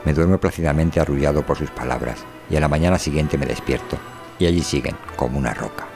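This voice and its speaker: Low-voiced man narrating a wry literary monologue. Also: fast talker, music in the background. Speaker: voice performer reading an audio fiction. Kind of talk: scripted literary first-person narration.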